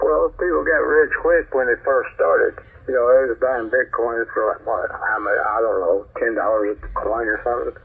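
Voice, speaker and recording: A man's voice: medium pitch, steady tone, normal speed, vocal fry, hardly any pauses, breathy edge, narrow, tinny audio. A man chatting casually as a ham radio operator, rambling in a folksy, plain-spoken way over a shortwave transmission. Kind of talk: casual two-way radio chat.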